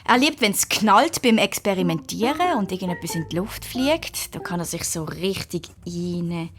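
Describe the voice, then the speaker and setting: A woman playing an eager, excitable schoolgirl twin detective in a children's audio drama. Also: on the low side, normal speed, slight background noise. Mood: eager, plotting.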